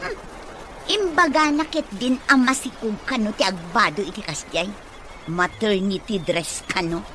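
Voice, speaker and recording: A woman's voice: medium pitch, moderate pace, fairly bright tone, slight background noise, natural voice. A woman acting a vain young office worker in an Ilocano radio comedy drama, haughty and whiny. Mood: offended.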